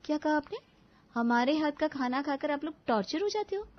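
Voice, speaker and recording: Casting: woman playing young cartoon daughter-in-law, very high voice, exasperated, sarcastic, sharp-tongued; slightly muffled recording. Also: expressive, brisk. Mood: indignant. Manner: complaining, emphatic, sarcastic. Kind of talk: animated family story acting.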